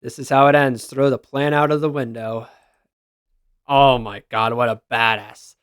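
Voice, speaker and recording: A man's voice: mid-range voice, fairly even intonation, unhurried, a bit bright, clean tone, no echo, clean studio sound. A man giving casual, enthusiastic reaction commentary as a gaming video creator, with slangy exclamations.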